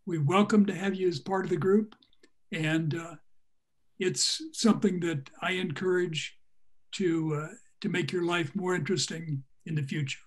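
A man in his seventies speaking in a measured, deliberate way as a panelist on a video call. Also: high-pitched, steady tone. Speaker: panelist, circus music society representative. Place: small room at home, indoors. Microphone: computer mic on a video call.

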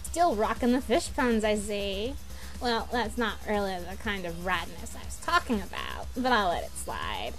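A woman voicing an exuberant, brash young troll gamer girl who talks in drawn-out slang in an amateur cartoon fandub. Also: mid-range voice, very animated, fairly bright tone, low-quality recording. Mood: amused. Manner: exuberant surfer-style slang, drawn-out exclamations. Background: music.

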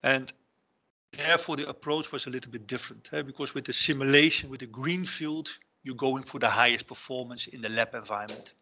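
A middle-aged Dutch-accented man presents over a headset on a video call. His voice has a bright, forward edge at a mid pitch. He pauses often with ums and restarts his sentences. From Netherlands. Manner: hesitant, many ums and restarts, non-native phrasing. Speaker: conference presenter, terminal IT director. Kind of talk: online conference presentation.

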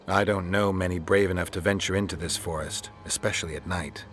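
A stoic witcher in a fantasy game. His voice is very low and his lines are blunt, terse and deadpan. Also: a little fast, a little hiss or hum. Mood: dry, probing. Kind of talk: dramatic video game acting.

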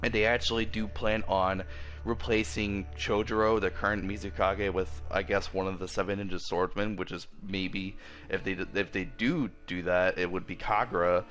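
A man's voice: low voice, steady tone, normal speed, a bit bright. A man with a sore throat reviewing an anime episode in a casual, rambling way, full of fillers and restarts. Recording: faint background music.

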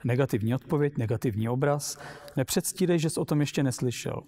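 A man's voice: medium pitch, warm tone, lightly acted. A man voicing a cool, hard-to-please rival robot inventor in a storybook reading, pedantic and dismissive.

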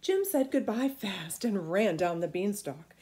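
A woman's voice: mid-range voice, expressive, unhurried, dark tone. A middle-aged woman reading a children's storybook aloud expressively, recorded in a room at home. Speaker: storybook reader. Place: small indoor room at home.

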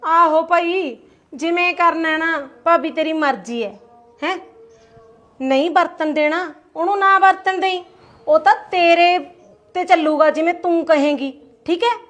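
A woman's voice: extremely high pitch, unhurried, a bit bright, muffled recording. A woman, acting an aggrieved sister-in-law who complains and taunts with rhetorical questions in a family skit. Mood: taunting. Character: aggrieved Punjabi aunt, taunting and sulky.

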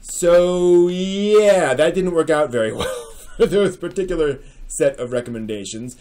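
A man's voice: a little high, a little slow, warm tone. A man in his forties hosting a dramatic reading with casual commentary, speaking in an emphatic, theatrical manner at home. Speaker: host. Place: small furnished room at home with bookshelves.

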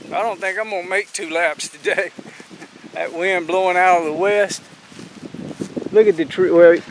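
A man's voice: a little high, darkish tone, a little hiss or hum. A man in his fifties vlogging casually on a rural roadside, rambling and thinking aloud in a folksy way as he films. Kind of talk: casual vlog narration. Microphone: camera held by cyclist.

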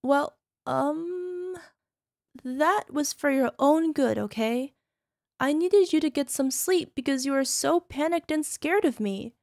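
A very high, girlish voice of a woman acting as a young yandere wife in an ASMR roleplay. She coos and coaxes sweetly, doting yet possessive, with a controlling menace underneath. The recording is dry, with no room sound. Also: lively intonation, slow pace, creaky, darkish tone, no room sound, heavily acted. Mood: defensive, menacing. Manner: cooing, coaxing, sugary with controlling edge. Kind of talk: ASMR roleplay, speaking directly to listener.

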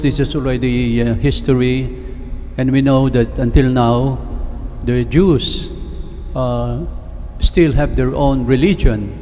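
An older man with a Filipino accent delivers a homily in a medium-pitched voice. He speaks haltingly, pausing often to find the next phrase. His words ring through a reverberant church, with clear noise behind them. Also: a little mellow. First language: Tagalog. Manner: halting delivery with long pauses and frequent hesitations. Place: large indoor church, at the lectern. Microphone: lectern microphone.

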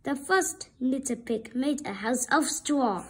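Slow, high-voiced woman reading a storybook. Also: expressive, slightly rough. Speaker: storybook reader. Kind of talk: children's read-aloud narration.

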